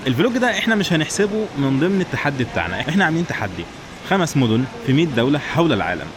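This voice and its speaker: A man's voice: on the high side, sing-song, racing. A man with an Egyptian Arabic accent chatting casually and informally to viewers as a travel vlogger. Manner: informal, addresses viewers directly. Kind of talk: casual vlog chat to camera. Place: outdoors in town streets. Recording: clear outdoor street and traffic noise.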